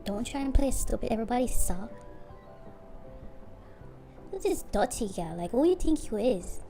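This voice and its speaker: This is a cartoon schoolgirl, jealous and mouthy, voiced by a woman and speaking. She sneers and confronts, pitched a bit high. Soft music sits behind her. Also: big pitch swings, moderate pace. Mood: jealous, sneering.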